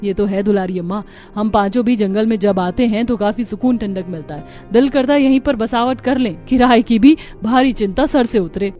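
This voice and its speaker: A woman's voice: medium pitch, normal speed, mellow, soft background music, lightly acted. A woman voicing a spirited young village daughter-in-law in a cartoon story, chatty and outspoken. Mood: friendly. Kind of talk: Hindi cartoon story acting.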